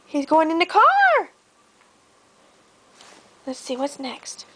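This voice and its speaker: A woman chatting playfully with a toddler over a picture book, prompting and encouraging in animated child-directed talk. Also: extremely high pitch, takes it slowly, noisy background. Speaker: mother reading a picture book with her child.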